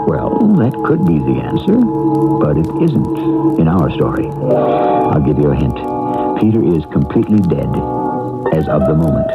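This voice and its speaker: A man's voice: sits low, vocal fry. A man hosting a 1970s radio mystery show, narrating theatrically and teasing the listener directly. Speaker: host of a radio mystery anthology. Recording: tinny old radio broadcast, music behind.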